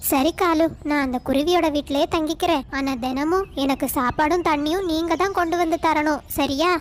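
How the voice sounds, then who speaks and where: A bossy, fretful mother crow played by a woman in a cartoon moral story. The voice is extremely high and pleads and scolds, with faint noise in the background. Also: fairly even intonation, normal speed, lightly acted. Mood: insistent. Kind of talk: animated moral-story dialogue.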